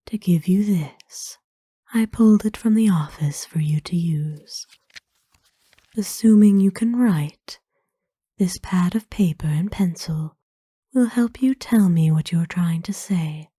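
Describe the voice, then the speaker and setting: Casting: woman as ancient vampire noblewoman for ASMR roleplay, very dark soft tone, breathy whisper, kind and formal, unhurried. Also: on the low side, no echo, clean studio sound. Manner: formal, slow, tender, hesitant pauses. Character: kind, courtly centuries-old female vampire owner, gently doting. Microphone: close dry microphone.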